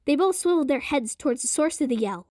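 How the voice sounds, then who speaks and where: A woman's voice: high-pitched, animated, fast talker, dark tone, no room sound, clean studio sound. A woman reading scripted narration for an audio drama.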